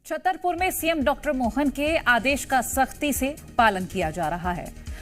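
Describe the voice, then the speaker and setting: A woman's voice: high voice, lively intonation, a little slow, fairly bright tone, soft background music. A woman with an Indian accent presenting live television news as the anchor.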